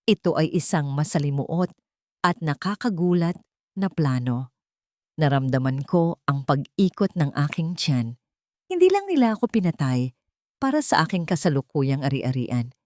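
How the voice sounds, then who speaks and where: A woman narrating a first-person audiobook drama in a steady, dramatic reading on a close mic. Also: on the low side, no room sound.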